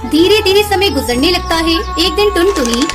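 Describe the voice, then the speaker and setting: A woman narrates a scripted children's story. Her voice is very high in pitch. Music plays clearly behind the narration. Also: expressive, moderate pace.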